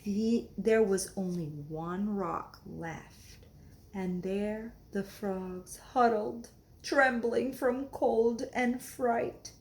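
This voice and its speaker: A woman reading a children's story aloud like a teacher, expressive and deliberate, explaining things as she goes. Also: medium pitch.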